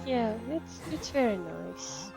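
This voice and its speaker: A woman doing casual live game commentary as a VTuber, murmuring to herself and exclaiming between pauses. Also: medium pitch, big pitch swings, gravelly. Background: game music.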